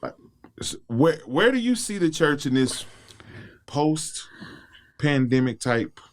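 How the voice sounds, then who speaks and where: A man hosting a casual interview show, asking rambling, relaxed questions. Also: on the high side, slow pace. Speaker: show host.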